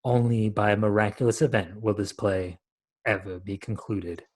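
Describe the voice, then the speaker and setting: Low-voiced man narrating stage directions. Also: flat delivery, moderate pace. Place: indoor room at home. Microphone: video call.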